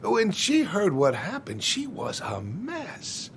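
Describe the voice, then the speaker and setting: An actor playing an evasive, folksy middle-aged small-town man in a naturalistic video game drama, with a creaky voice. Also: high voice, huge pitch swings, slow, a bit bright, a little hiss or hum, full character voice. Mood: evasive, defensive.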